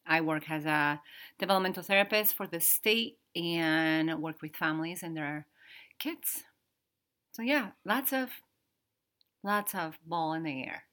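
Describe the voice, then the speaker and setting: A Dominican-accented woman in her thirties cohosts a relaxed podcast in English, her second language. Her voice sits low but has a bright, forceful edge. She talks in a halting way, stopping to search for words and laughing easily. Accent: Dominican Spanish. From Dominican Republic. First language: Spanish. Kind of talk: casual podcast conversation.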